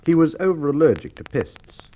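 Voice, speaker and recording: A man acting a courteous, methodical police inspector in an old radio crime drama, with polite, measured, probing speech. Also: fairly high pitch, sing-song, mellow. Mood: confident accusation. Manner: polite, measured, hesitant probing with formal phrasing. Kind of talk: Golden Age Australian radio drama acting. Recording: muffled, noisy old radio recording with clicks.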